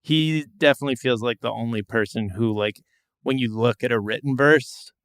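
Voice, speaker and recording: Male host, mid pitch with vocal fry. Casual conversational delivery, dry recording. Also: normal speed, fairly bright tone, dry recording. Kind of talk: casual chat.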